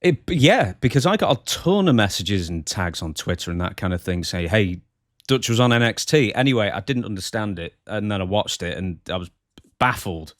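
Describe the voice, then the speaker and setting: A man co-hosting a podcast, chatting casually and prompting his guest with quick, amused questions. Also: fairly low pitch, lively intonation, dry recording, studio quality. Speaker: podcast co-host.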